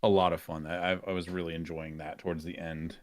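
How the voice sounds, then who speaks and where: A man's voice: low-pitched, little pitch movement, fast. A man talking informally as a podcast guest, in casual chat where he often repeats his phrases. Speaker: podcast guest and game streamer.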